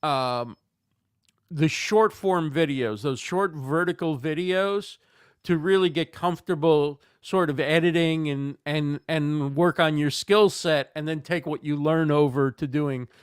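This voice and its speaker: A man's voice: fairly high pitch, unhurried, clear, resonant tone, no room sound, clean studio sound. A man who is slightly losing his voice, speaking as the guest in an online video call interview. He gives thoughtful answers with many fillers and repeated words. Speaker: guest expert on livestreaming.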